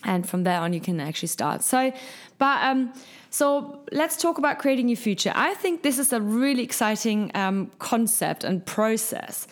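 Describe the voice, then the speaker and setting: A woman hosting a casual solo podcast, her voice husky from lack of sleep, talking in an upbeat, encouraging, motivational way. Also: a little high, animated, normal speed. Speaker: podcast host. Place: hot top-floor apartment.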